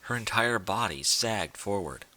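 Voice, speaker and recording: A man giving an expressive scripted narration of a story, as in an audiobook. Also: on the low side, measured pace, dry recording.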